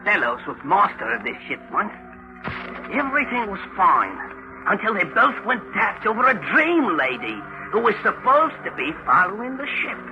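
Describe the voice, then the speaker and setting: An actor playing a fussy, deferential ship's steward with an earnest manner in a 1940s radio mystery. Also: male voice, high-pitched, big pitch swings, normal speed. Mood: confiding. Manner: obedient, earnest, reporting. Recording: muffled old radio with music.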